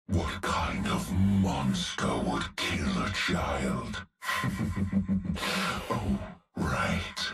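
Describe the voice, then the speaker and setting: An actor voicing a vengeful, menacing male cyborg executioner with a gravelly voice, delivering slow, grim threats. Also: very deep voice, a bit bright, heavily acted. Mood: mocking. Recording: game clip, roomy, heard from a distance with faint music.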